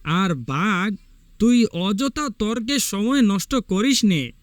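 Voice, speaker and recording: A man voicing a calm, learned fox who judges and admonishes in a measured way in a cartoon fable. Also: sits high, no room sound, pitched above the performer's own voice. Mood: calm admonishing.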